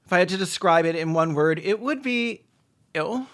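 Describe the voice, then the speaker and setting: A man's voice: high voice, lively intonation, normal speed, fairly bright tone, clear, resonant tone. A man doing sarcastic, snarky comedic movie commentary as a video host, with dramatic emphasis. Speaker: film reaction video host.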